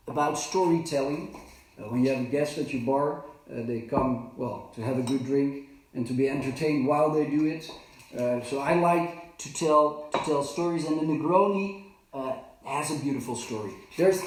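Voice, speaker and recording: Halting bartender in an echoey kitchen. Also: male voice, fairly high pitch, a little mellow, recorded from a distance, slight background noise. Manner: halting, many fillers and restarts. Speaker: bartender and cocktail trainer. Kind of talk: casual live demonstration. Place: home kitchen. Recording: very echoey, from off the mic.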